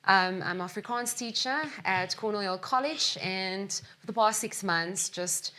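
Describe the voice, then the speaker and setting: Bright-voiced South African woman coach. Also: medium pitch, measured pace. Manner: thoughtful, many ums. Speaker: netball coach. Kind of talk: casual interview answers. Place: studio.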